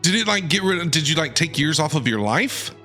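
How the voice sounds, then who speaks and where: A man's voice: fairly high pitch, lively intonation, brisk. A man doing casual live reaction commentary as a game streamer, chatty and inquisitive, often repeating himself. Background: faint game music.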